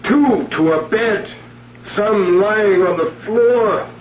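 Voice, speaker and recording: An actor playing a vain, flirtatious film star with a theatrical delivery in a 1970s radio drama. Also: male voice, sits high, slow pace, roomy sound. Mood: anguished declaiming. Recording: muffled 1970s radio broadcast with music.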